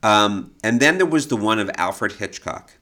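A man with a distinctly low voice talks as a podcast co-host. He explains his subject in a knowledgeable, conversational style, like someone at home with the material. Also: expressive, normal speed, pushed and bright. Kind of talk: informative conversational podcast talk.

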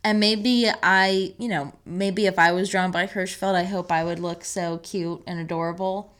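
A woman's voice: on the low side, steady tone, normal speed, fairly bright tone. A woman co-hosting a podcast in casual, enthusiastic, chatty conversation. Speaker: podcast co-host. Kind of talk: casual chat.

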